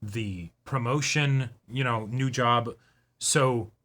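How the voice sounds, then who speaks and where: A man's voice: mid-range voice, takes it slowly, fairly bright tone. A man co-hosting a reaction show in casual discussion, agreeable and often voicing imagined thoughts. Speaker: co-host of an anime reaction show.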